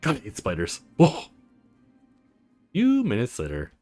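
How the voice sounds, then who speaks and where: A man hosting a let's play, giving informal, animated gaming commentary and reading game text aloud. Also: mid-range voice, a little slow, faint music behind.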